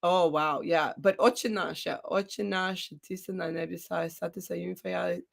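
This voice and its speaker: Hoarse, chatty woman. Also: lowish voice, fairly even intonation. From Russia. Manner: chatty, laughs often, halting restarts. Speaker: guest. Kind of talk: casual live-stream chat with singing.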